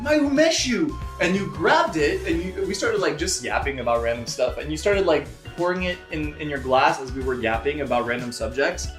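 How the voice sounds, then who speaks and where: A loud, animated man telling a story in a casual group chat. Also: a little high, a little fast, hardly any pauses, reverberant, soft background music. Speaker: streamer.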